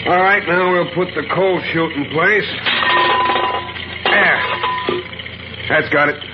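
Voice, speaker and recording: An actor in a 1950s radio drama playing a brisk, hurried, plain-spoken working-class coal truck driver. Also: male voice, on the high side. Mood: hurried, chatty. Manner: brisk, folksy, businesslike. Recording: muffled, very noisy old radio recording.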